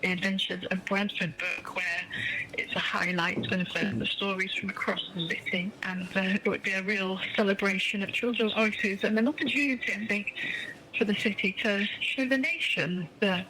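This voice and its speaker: A woman's voice: mid-range voice, fairly even intonation, normal speed, heavy background noise. A middle-aged woman being interviewed as a guest, speaking enthusiastically and emphatically, often repeating phrases for emphasis. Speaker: interview guest, children's storytelling project founder. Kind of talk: enthusiastic radio interview answers.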